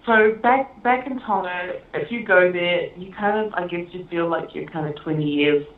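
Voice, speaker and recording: Woman director, interview guest, chatty and giggly, lowish voice, remote call line. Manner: chatty and halting, many fillers and laughs. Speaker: film director being interviewed. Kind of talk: casual interview conversation. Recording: echoey, tinny phone-like line.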